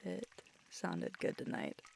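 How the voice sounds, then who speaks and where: A woman playing a sweet, teasing, affectionate werewolf girlfriend in an intimate ASMR roleplay, talking casually and haltingly, at times hushed. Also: a little hiss or hum, close to an everyday voice. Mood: warm, relaxed.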